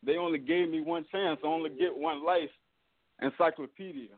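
A man's voice: fairly high pitch, steady tone, normal speed. A man from Virginia calling in to a radio show, chatting and then rapping a freestyle. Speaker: caller, rapper. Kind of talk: freestyle rap over a call. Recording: dry phone-line audio.

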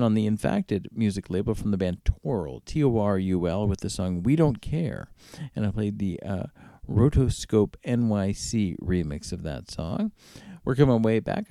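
A middle-aged man runs through tracks on his radio show in a loose, rambling way. His voice is low and dark with a gravelly edge, and his pitch moves a lot. The sound is dry and close. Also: no echo. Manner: relaxed, rambling, spells out band names. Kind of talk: casual back-announcing of a music radio show.

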